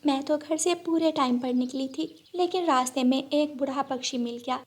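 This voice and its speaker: A woman's voice: high voice, normal speed, lightly acted. A woman voicing a poor, humble mother bird with a meek, tender, pleading manner in a cartoon story. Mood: meek, apologetic.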